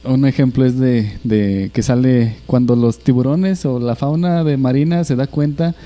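A man's voice: medium pitch, moderate pace, dark tone. A young man with a Mexican Spanish accent, chatting casually with friends on a podcast and rambling through his points with many restarts. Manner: rambling, hesitant, slangy. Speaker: podcast co-host. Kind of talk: casual chat.

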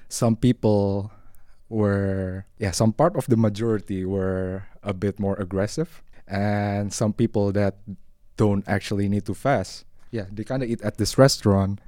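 A young man from Indonesia with an Indonesian accent, speaking haltingly and reflectively in a casual discussion. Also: lowish voice, mellow, no room sound, studio quality. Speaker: podcast guest.

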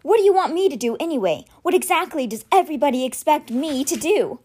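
Frantic teenage boy at the end of his rope , a woman reading him, high pitched, lively. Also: fast. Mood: angry, desperate. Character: desperate teenage boy leader, angry.

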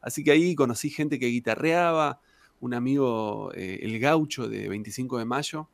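A man's voice: medium pitch, moderate pace, gravelly, no echo. A man in his thirties from southern Argentina with an Argentine accent, a tango singer chatting loosely in an interview, full of fillers and tag questions. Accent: Argentine Spanish. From Argentina, Santa Cruz province. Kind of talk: casual interview storytelling.

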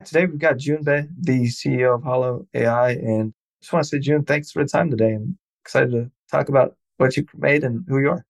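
A man's voice: mid-range voice, fairly quick, gravelly, no echo, poor audio. A man hosting a podcast, chatting loosely with a guest and laughing often. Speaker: podcast host. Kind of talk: casual interview chat.

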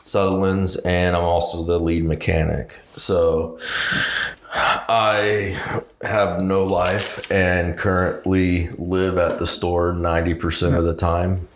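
A man's voice: deep voice, flat delivery, slow pace, roomy sound, noisy background. A man from Kansas in his thirties, a podcast guest swapping stories in casual chat and laughing often. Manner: laid-back storytelling, laughs a lot.

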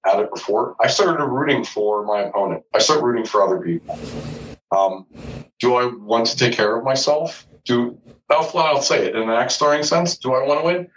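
Forties male guest, low-ish, frank and profane; distant, dull call audio. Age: 40s. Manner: blunt and profane, candid. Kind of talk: casual chat. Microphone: video call.

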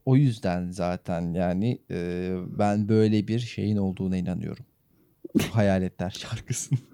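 A man with a deep-leaning, deeply mellow voice co-hosts a relaxed podcast. He jokes along, recorded dry and close, with no room sound. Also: moderate pace, vocal fry, smooth, dry recording. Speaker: podcast co-host. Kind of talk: casual, joking podcast chat.

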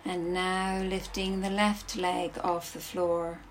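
Slow-paced woman guiding a relaxation class. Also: fairly low pitch, little pitch movement, a little hiss or hum, low-quality recording. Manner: soothing, instructive, with long pauses between short phrases. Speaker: yoga nidra instructor.

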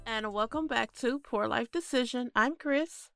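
A woman's voice: fairly high pitch, measured pace, gravelly, faint music behind. Stuffy-nosed woman co-hosting a podcast. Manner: relaxed, chatty. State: stuffy nose. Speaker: podcast co-host. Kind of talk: casual chat.

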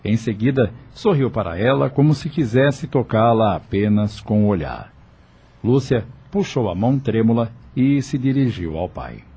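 A man narrating a radio soap from a script, with a husky voice. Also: fairly low pitch, expressive, normal speed, mellow.

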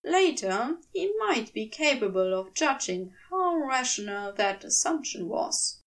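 Casting: female fiction narrator, highish pitch, measured pace, expressive scripted read. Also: recorded from a distance. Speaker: podfic narrator.

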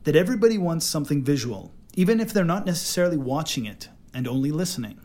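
An American man co-hosting a casual podcast chat, animated and quick to laugh. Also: on the high side, background noise. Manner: animated, laughs often, code-switches into Arabic. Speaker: podcast co-host.

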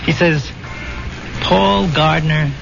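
An actor playing the haunted, plain-spoken ghost of a soldier in a 1970s radio drama, telling things haltingly and dramatically. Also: male voice, fairly high pitch, fairly even intonation, creaky, heavily acted. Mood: haunted, recollecting. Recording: muffled vintage radio recording, loud music behind.

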